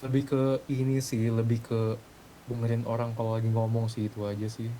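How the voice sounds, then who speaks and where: A man's voice: mid-range voice, monotone, a little fast, mellow, a little hiss or hum, low-quality recording. A man talking casually and slangily in an online gaming group meeting, airing complaints. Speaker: roleplay gamer in a group meeting. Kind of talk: casual in-game meeting talk.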